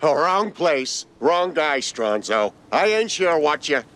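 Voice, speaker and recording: A man's voice: a little high, very animated, slow pace, a bit bright, exaggerated voice. A man voicing a cocky, evasive mobster in a cartoon game, with an Italian-American accent and flippant, nervy wisecracks. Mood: nervous bluffing.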